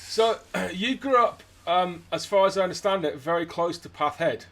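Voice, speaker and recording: A man's voice: high-pitched, moderate pace, fairly bright tone. A man from Scotland interviewing casually, with a dry, wry sense of humour. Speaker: interviewer, jazz musician.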